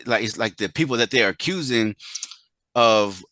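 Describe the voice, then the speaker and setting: A man with a lowish voice, recorded close and dry, hosts a casual interview. He searches for words, starting and restarting his questions before landing them. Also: animated, bright, forceful tone, dry recording. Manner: halting, searches for words, rephrases repeatedly. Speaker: interview host.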